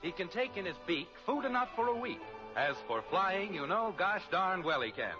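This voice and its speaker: A man's voice: high-pitched, very animated, normal speed, over music. A man announcing in a scripted, playfully grand 1950s radio manner. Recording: muffled old radio recording.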